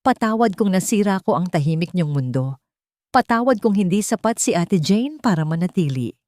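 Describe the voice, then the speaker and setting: Woman doing a heartbroken young nanny, soft whisper, storybook drama. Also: fairly low pitch, lively intonation, moderate pace, no room sound, clean studio sound, lightly acted. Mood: sorrowful.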